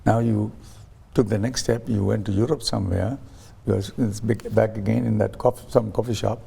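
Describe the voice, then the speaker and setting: A man's voice: on the low side, fairly even intonation, moderate pace, vocal fry, dark tone, breathy edge. A man interviewed as a guest on a talk show, explaining his ideas through stories and acting out quoted voices, with frequent restarts. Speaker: guest, science ambassador.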